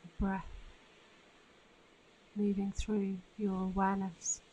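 A woman guiding a meditation in a soothing, unhurried manner with long pauses between phrases. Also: medium pitch, monotone, mellow, slight background noise, rough recording. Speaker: meditation guide. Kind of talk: guided meditation narration.

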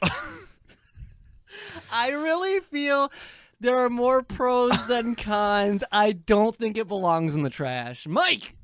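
A woman hosting a casual group movie review, exclamatory, giggly and quick to argue her point. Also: on the high side, expressive. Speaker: movie review show host.

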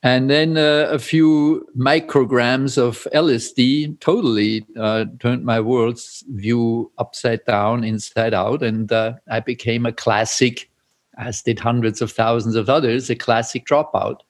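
An older man from Austria with an Austrian German accent, a Zen priest speaking as an interview guest, pausing often with fillers and soft chuckles. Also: medium pitch, fairly even intonation. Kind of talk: reflective interview talk.

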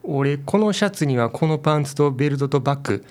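An actor with a Kansai accent playing a casual, blunt convenience store clerk in a radio drama. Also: male voice, fairly high pitch, animated, fast talker, darkish tone, natural voice. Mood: indignant.

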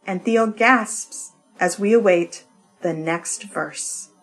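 A woman reads poetry expressively at a slow, deliberate pace, her voice of average pitch. Music plays softly behind her as she delivers each line. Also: low-quality recording. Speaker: poetry reader. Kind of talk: expressive literary reading.

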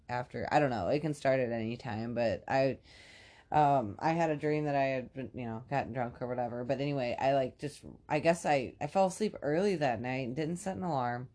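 A woman co-hosting a podcast, chatting casually in a rambling, filler-heavy way, her voice congested and raspy from a cold. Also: very deep voice, darkish tone. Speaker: podcast co-host. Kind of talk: casual chat.

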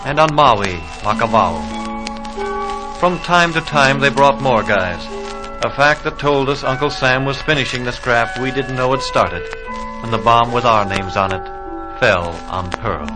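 An actor playing a young army private killed in war, plainspoken and reflective, in a 1940s radio drama. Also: male voice, medium pitch, unhurried, natural voice, poor audio. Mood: wistful, reflective. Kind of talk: 1940s radio drama acting, verse monologue. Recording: vintage 1940s radio, music behind.

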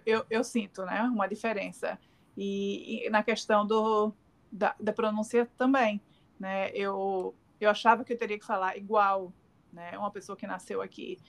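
Hesitant Northeastern Brazilian woman. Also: medium pitch. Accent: Northeastern Brazilian Portuguese. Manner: hesitant, many fillers and restarts, frequent tag questions. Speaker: interviewee, Brazilian emigrant. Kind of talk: casual remote interview.

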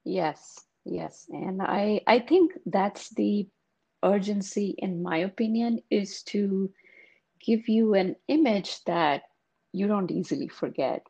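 A woman's voice: mid-range voice, slow, creaky, warm tone, husky, muffled recording. A woman, an author thoughtfully explaining her writing in an interview. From India. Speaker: author, guest. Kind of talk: interview answers.

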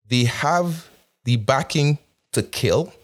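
A man's voice: mid-range voice, animated, takes it slowly. A young man from Nigeria with an accent shaped by both Nigeria and North America, talking casually with fillers and restarts. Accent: Nigerian with North American influence. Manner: conversational, with frequent fillers and repeated restarts. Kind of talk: casual monologue.

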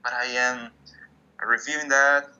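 A man's voice: mid-range voice, steady tone, unhurried, pushed and bright. A man whose first language is Portuguese, a musician guest speaking English with frequent restarts, heard over a video call. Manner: hesitant, restarts often, searching for English words. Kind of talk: interview conversation. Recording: dull lo-fi sound.

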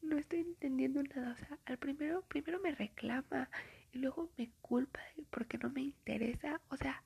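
A woman's voice: high-pitched, normal speed, darkish tone. A young woman, whispering a chatty, gossipy ASMR storytime full of fillers. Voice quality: whispering, breathy.

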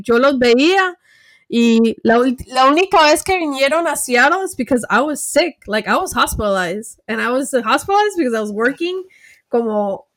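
A bilingual woman with a high, bright voice hosts a casual podcast conversation. She switches freely between Spanish and English and talks in an animated way. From United States. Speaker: podcast host. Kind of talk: casual interview chat.

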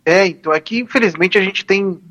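A man's voice: high-pitched, fairly quick, bright, forceful tone. A man, a law professor and radio guest, talking informally with hesitant restarts. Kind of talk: casual radio chat.

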